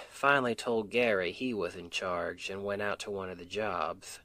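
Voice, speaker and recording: A man's voice: low voice, steady tone, a little slow, pushed and bright, no echo. A man playing a sweet, weary Southern US husband and roofer in an intimate ASMR roleplay, with an affectionate, teasing, folksy drawl. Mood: weary, reflective. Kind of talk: intimate ASMR roleplay, one side of a conversation.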